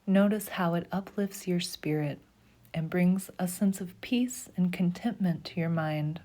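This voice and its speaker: A woman leading a guided meditation in a soft, slow, soothing voice, often dropping to a breathy half-whisper. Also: fairly low pitch. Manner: slow, soothing, even pacing.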